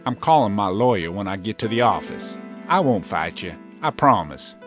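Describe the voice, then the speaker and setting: An actor playing an earnest, conciliatory estranged husband in an audio soap drama. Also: male voice, on the low side, very animated, normal speed, a bit bright, soft background music. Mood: earnest, wounded. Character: estranged husband, outwardly conciliatory, secretly compliant schemer.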